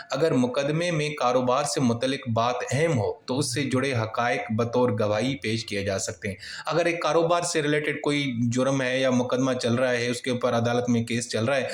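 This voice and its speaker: A male lecturer with a medium-pitched voice teaches in an explanatory, informal way. He states each point, then puts it again in everyday words. The recording is noticeably roomy and echoing. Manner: reads a point, then restates it colloquially with examples. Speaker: law lecturer. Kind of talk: informal explanatory law lesson.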